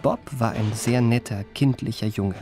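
An actor narrating a German radio play as an urbane confidence trickster recalling his youth, in measured, ornate, wryly genteel phrases. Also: medium pitch, music in the background, close to an everyday voice. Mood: wry, composed.

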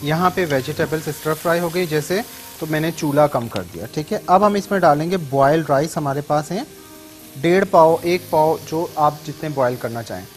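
Sindhi-accented TV chef explaining a recipe. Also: male voice, a little high, moderate pace, faint music behind. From Sindh, Pakistan. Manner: instructive, repeats steps in two languages. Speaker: TV cooking show chef and host. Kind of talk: cooking demonstration, taking phone calls. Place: TV studio kitchen set.

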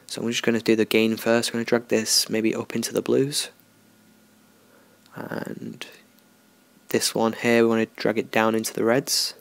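A man talking through a software tutorial in a relaxed, unscripted way, thinking aloud as he works. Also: lowish voice, moderate pace, vocal fry, pauses often, no echo. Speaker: tutorial host. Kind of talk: screen-recorded software tutorial.

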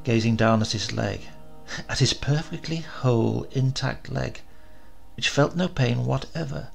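Slow, gravelly male story narrator. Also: mid-range voice, faint music behind. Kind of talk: audiobook narration.

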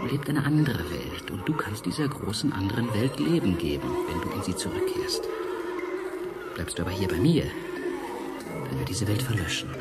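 An actor playing a mysterious, intimate man coaxing a goddess in a science fiction radio play, with slow incantatory phrasing. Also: fairly low pitch. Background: music.

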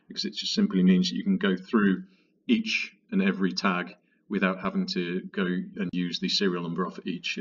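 A man narrating a software tutorial in a methodical, explanatory, informal way. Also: low-pitched, slightly rough, distant mic, dull audio. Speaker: product tutorial presenter. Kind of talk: software walkthrough narration, conversational.

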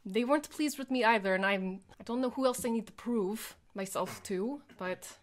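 A woman's voice: on the high side, normal speed. A woman player in a tabletop roleplaying game, chatting casually and partly in character.

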